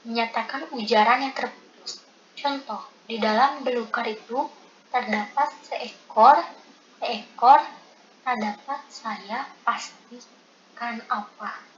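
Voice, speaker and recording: Young woman reciting over loud noise. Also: fairly high pitch, takes it slowly. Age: young adult. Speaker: student presenter. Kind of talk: reading out presentation material. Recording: dull lo-fi audio with loud noise.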